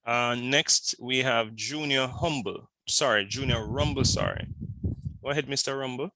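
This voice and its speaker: A man lecturing on a video call, briskly calling on students by name to introduce themselves. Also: medium pitch, sharp tone, no room sound, low-quality recording. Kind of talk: online class roll call.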